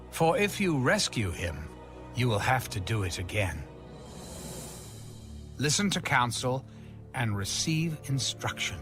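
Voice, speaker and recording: A man reading scripture aloud from a script in a measured, expressive, declamatory manner. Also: on the low side. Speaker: audio Bible reader.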